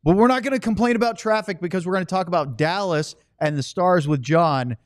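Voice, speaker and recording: A man's voice: sits high, fairly quick, no room sound. An American man hosting a casual sports podcast, talking and reading promos into a studio microphone. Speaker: podcast host. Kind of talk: casual sports talk and ad read. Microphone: close studio microphone.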